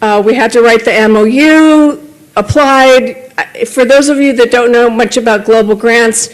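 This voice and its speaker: A woman's voice: a little high, a little slow, rough recording. A woman giving a conversational report at a club meeting, speaking from a podium with small self-corrections. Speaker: club member reporting on a grant project.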